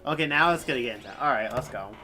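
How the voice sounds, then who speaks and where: A man's voice: medium pitch, lively intonation, quick pace, pushed and bright, faint music behind. A man live streaming a game with casual, wry and sarcastic commentary.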